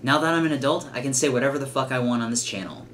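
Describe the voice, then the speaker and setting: A man's voice: medium pitch, very fast, fairly bright tone, slight background noise. A 21-year-old man giving casual, blunt reaction commentary with some swearing. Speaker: reaction channel host. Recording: music behind the voice. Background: music.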